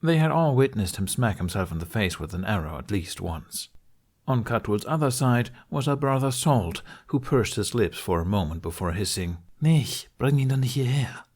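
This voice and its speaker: A man's voice: lowish voice, expressive, normal speed. A man reading a scripted story aloud as an audiobook narrator.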